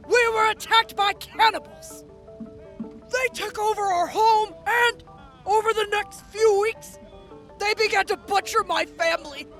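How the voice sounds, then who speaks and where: A woman playing a matter-of-fact military major being interviewed in an audio drama, measured and conversational. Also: very high-pitched, fairly bright tone, exaggerated voice.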